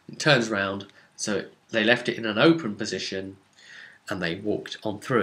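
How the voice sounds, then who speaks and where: A man giving a casual, conversational recap of a role-playing game session as its game master, leaning on drawn-out words for emphasis. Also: mid-range voice, bright, forceful tone.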